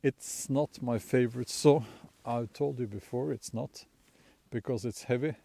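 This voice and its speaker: A man's voice: medium pitch, unhurried, vocal fry, mellow, dry recording. A man with a Norwegian accent talks casually to his camera in a spruce forest. He rambles in an easygoing way, with many fillers and restarts. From Norway. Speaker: bushcraft vlogger. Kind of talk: casual outdoor vlog talk. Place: outdoors in a snowy spruce forest. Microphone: camera on a tripod held as a selfie stick.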